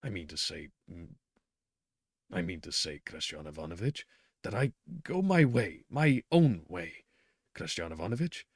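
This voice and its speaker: A man's voice: low-pitched, big pitch swings, unhurried, husky, no room sound, clean studio sound, exaggerated voice. A man doing a timid stammering clerk. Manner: hesitant, stammering, subdued. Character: timid awkward male clerk, middle-aged. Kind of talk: reading a novel aloud.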